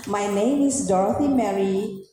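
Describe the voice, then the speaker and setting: Slow-speaking woman in a very echoey hall. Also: medium pitch, warm tone, a little hiss or hum. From Malaysia. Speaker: graduate giving a thanksgiving speech. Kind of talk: formal public testimony speech. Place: large indoor hall at a ceremony. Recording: echoey hall, long reverb, music behind. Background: music.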